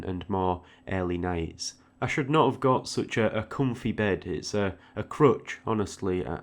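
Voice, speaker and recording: A man giving an informal, reflective audio log, often repeating words hesitantly as he goes. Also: on the low side. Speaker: art tutorial creator, channel host.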